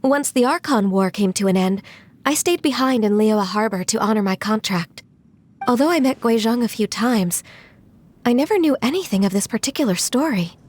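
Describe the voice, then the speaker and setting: Earnest young game lady, bit high pitched, super polite n careful. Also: lively intonation, moderate pace, a little mellow. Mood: reflective. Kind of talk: video game voice acting.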